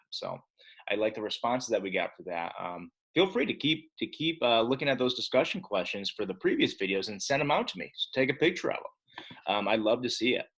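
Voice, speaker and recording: A man's voice: fairly low pitch, fairly bright tone, husky, low-quality recording. A man teaching young children in a casual read-aloud style, chatty and speaking directly to his pupils. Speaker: elementary school teacher.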